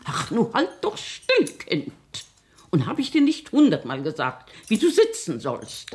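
A woman's voice: medium pitch, huge pitch swings, a little slow, a little mellow. An actress playing a strict, fussy old grandmother in a German radio play, scolding and bossy with emphatic theatrical delivery.

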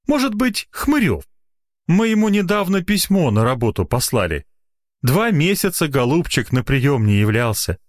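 Guy doing a lady nurse in an audio story, high voice, soothing, bit gossipy. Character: sympathetic female nurse soothing an agitated doctor.

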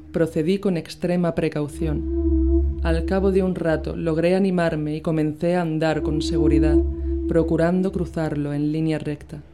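A woman from Spain reading a literary horror story aloud in a measured, dramatic storytelling manner. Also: deep voice. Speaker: narrator. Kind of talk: scripted literary narration. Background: loud music with a singing bowl.

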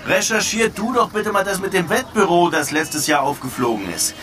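An actor playing a determined police detective in a radio drama, talking assertively and matter-of-factly to a colleague. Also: male voice, sits high, normal speed, sharp tone, a little hiss or hum. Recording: distant-sounding voice, music behind.

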